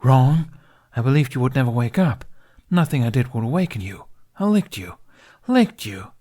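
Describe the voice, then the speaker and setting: A man's voice: mid-range voice, lively intonation, moderate pace, dark tone, pitched above the performer's own voice, lightly acted. A man voicing an exasperated male dragon companion who speaks in a formal, precise way. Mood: indignant.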